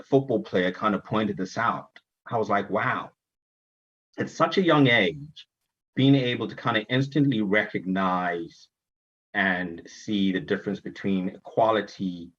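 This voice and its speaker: Husky low-voiced man from Zimbabwe. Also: dull audio. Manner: earnest, emphatic, with fillers and restarts. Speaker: event host. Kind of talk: virtual summit welcome address. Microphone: virtual conference stream.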